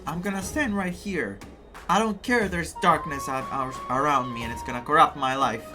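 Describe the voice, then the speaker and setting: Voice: medium pitch, moderate pace. A streamer from Greece giving casual gameplay commentary in a chatty, reactive way with hesitant phrasing. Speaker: gaming streamer. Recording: game music under the voice.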